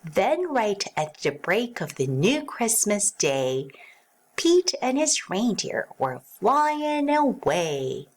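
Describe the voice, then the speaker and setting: Lady reading kids picture book out loud, really slow, super expressive up and down voice. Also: medium pitch. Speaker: children's book narrator. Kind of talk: read-aloud storybook narration, rhyming verse.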